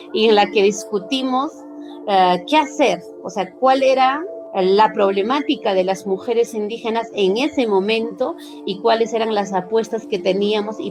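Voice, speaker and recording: A woman founder recounts events fluently and in an orderly, formal way. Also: medium pitch. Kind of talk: documentary interview.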